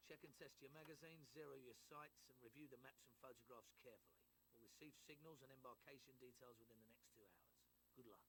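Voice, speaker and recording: An actor playing a no-nonsense British Second World War army officer, giving a clipped, authoritative mission briefing in a game. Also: male voice, medium pitch, fast talker, few pauses, natural voice. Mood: brisk, businesslike. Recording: noisy game audio, ambient sound behind.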